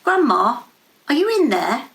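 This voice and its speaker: A woman's voice: fairly high pitch, sing-song, measured pace, creaky, fairly bright tone, far from the mic, full character voice. A woman voicing an earnest, uneasy thirteen-year-old boy in a story, speaking tentatively. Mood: hopeful, uneasy.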